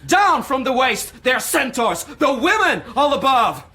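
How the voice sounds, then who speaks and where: An intense, anguished young man in a radio play. He speaks slowly and theatrically, with big swings of pitch and a volatile, poetic temper. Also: very animated, pushed and bright, breathy edge, full character voice. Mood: ranting. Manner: declamatory, intense, archaic poetic phrasing. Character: anguished, volatile young man, a self-styled savage quoting Shakespeare. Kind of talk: theatrical radio drama acting.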